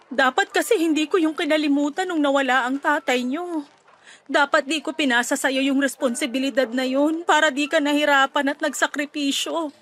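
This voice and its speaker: A woman's voice: high-pitched, a bit bright. A woman playing a tender, remorseful mother of grown children in a radio drama, pleading and emotional. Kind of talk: Tagalog radio drama acting. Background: background music.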